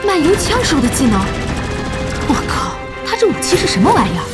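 An actress playing a brash, excitable, sharp-tongued young woman boss in an audio drama. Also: sits high, fairly quick, over loud music. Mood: excited commentary. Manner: animated exclaiming, teasing, blunt.

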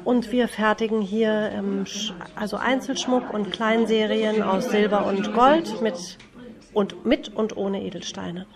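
A woman's voice: medium pitch, fairly even intonation, a little slow. Female goldsmith speaking amid shop chatter. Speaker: goldsmith and shop owner being interviewed. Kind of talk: casual interview answers. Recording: busy background chatter, recorded on location.

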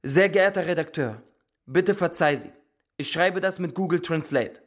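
A fairly high-voiced man acting as an earnest Syrian letter writer. He is polite and formal, with stilted phrasing, in a radio drama. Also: normal speed, natural voice.

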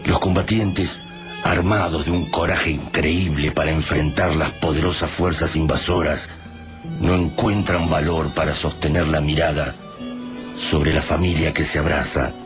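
An actor playing a solemn storyteller, giving literary scripted narration in a radio drama. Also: male voice, extremely low pitch, steady tone, unhurried. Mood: solemn, mournful. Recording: loud music behind.

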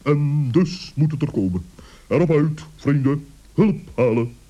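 Pompous old owl, very dark muffled voice, slow n deliberate, old kids radio play. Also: male voice, on the high side, expressive. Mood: solemn. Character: wise, self-important old owl, male, pompous and hesitant. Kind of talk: children's radio play acting.

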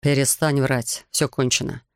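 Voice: mid-range voice, flat delivery, a little slow, clear, resonant tone, no echo, lightly acted. Narrator as a tough male agent grilling someone, pressing, dry audiobook sound. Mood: hard, accusing. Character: hard, pressing male agent interrogating a suspect.

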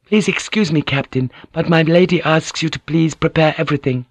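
Male narrator as young maidservant, high-pitched, polite, proud, deferential, formal, audiobook storytelling. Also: a little fast, no room sound.

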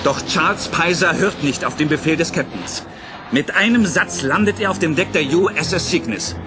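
A man delivers dramatic radio-play narration. His voice is a touch high, with theatrical, suspenseful emphasis. Loud music runs underneath. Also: lively intonation, normal speed.

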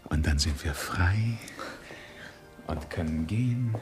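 An actor playing an impatient, cynical yet reassuring secret lover in a radio drama, intimate and insistent. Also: male voice, low-pitched, animated, takes it slowly, music in the background, exaggerated voice.

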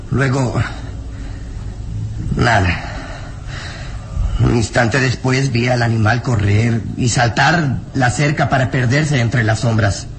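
An actor playing a burly, frightened and bitter peasant villager in a melodramatic radio drama, anguished, emphatic and halting. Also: male voice, mid-range voice, a little hiss or hum, natural voice, low-quality recording.

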